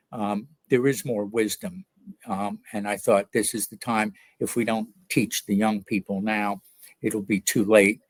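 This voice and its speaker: An older man from New York, an author answering interview questions in a reflective, hesitant way with many pauses and fillers. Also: lowish voice, no echo.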